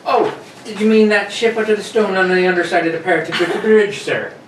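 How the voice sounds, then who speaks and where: A woman's voice: medium pitch, quick pace, lightly acted. A woman playing a plain-spoken, deferential police sergeant, colloquial and matter-of-fact, in an old radio mystery. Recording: roomy, distant, faintly noisy recording.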